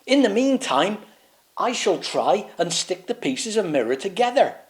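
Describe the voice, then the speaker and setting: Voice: medium pitch, big pitch swings, measured pace, pitched above the performer's own voice. The storyteller voicing Sir Swaggeralot, a pompous, self-assured knight who explains everything with bluster in a raised voice. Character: pompous know-it-all knight, man.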